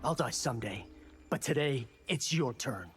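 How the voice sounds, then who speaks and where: An actor playing an earnest, determined young warrior giving dry, defiant retorts in video game fight banter. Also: male voice, mid-range voice, lively intonation, normal speed, loud music behind the voice, lightly acted. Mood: resolute.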